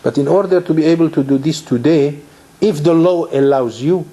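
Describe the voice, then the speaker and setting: Rabbi from Morocco giving a lecture. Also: male voice, a little high, normal speed, mellow. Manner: lecturing with frequent restarts and rhetorical questions. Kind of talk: torah lecture.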